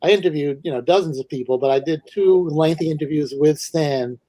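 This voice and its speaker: A man from Manhattan with a New York accent, a guest author talking over a video call in a thoughtful, halting way. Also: fairly high pitch, dark tone, no echo. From New York City. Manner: thoughtful, hesitant, many restarts. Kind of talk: casual video call interview.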